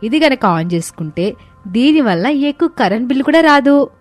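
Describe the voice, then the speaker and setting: A woman reading the dialogue of a family story in a bright, explaining tone, with soft music behind. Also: high-pitched, animated, moderate pace, dry recording. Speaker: narrator voicing characters.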